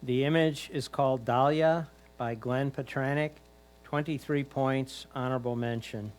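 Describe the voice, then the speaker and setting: A man's voice: mid-range voice, steady tone, unhurried, darkish tone. A man reading out titles and scores as a competition announcer, in a formal announcing style.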